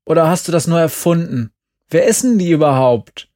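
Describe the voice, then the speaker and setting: A man voicing a frantic, bossy teenage boy who shouts and swears in an audiobook. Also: fairly high pitch, expressive, normal speed, no room sound, studio quality, voice pushed up, natural voice. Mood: urgent.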